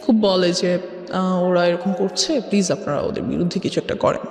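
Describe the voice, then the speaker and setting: A woman's voice: on the low side, normal speed, a little mellow. Echoey Bangladeshi woman telling her story. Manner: switches often between Bengali and English. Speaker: guest telling life story. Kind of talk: conversational personal storytelling. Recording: echoey long reverb, music bed. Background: background music.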